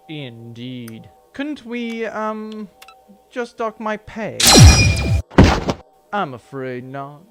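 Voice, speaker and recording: An actor playing a scheming nobleman villain in a comedic sci-fi audio drama, drawling with genteel, polite menace. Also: fairly high pitch.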